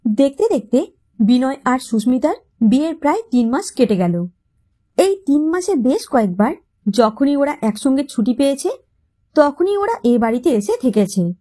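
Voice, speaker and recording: A woman's voice: sits high, big pitch swings, moderate pace, dark tone. A woman narrating a scripted story in an emotive storytelling style.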